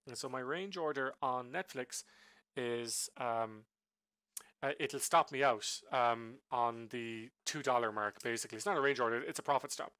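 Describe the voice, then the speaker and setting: A man with a bright, forceful voice talks through his trades in a loose, rambling way, full of ums and restarts. He is getting over a flu. The sound is dry and close. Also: medium pitch, normal speed, smooth, dry recording. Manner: rambling, many ums and self-corrections. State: sick with a flu, voice recovering. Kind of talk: casual screen-share trade recap.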